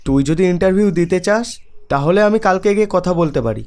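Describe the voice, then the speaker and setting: A man voicing a tired young software worker in an animated horror story, weary yet friendly with his cousin. His voice sits a little high for a man. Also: brisk. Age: young adult.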